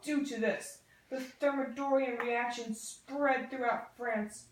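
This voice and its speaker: A young woman with a fairly high voice presents scripted history in dialogue, like a student project. The sound is strongly roomy, with clear reflections around her words. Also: steady tone, takes it slowly, heavy background noise. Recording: roomy, echoey room sound.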